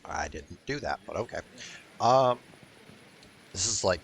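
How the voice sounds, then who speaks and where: A man giving casual playthrough commentary in a wry, halting, unimpressed way. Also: deep voice, lively intonation, creaky, slight background noise. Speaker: gaming video creator.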